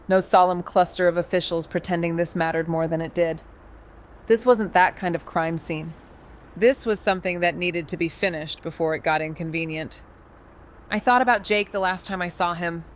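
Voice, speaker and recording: A woman's voice: fairly low pitch, slight background noise, lightly acted. A woman playing an anxious young amateur detective and narrator in a modern noir audio drama. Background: music underneath.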